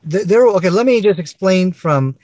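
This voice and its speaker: A middle-aged man from Pakistan argues his case over a webcam on a video call. He lectures with persistence and often says "you know". His voice sits high and sounds dry and close. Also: lively intonation, normal speed, a little mellow, no room sound. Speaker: debater. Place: dark room, indoors.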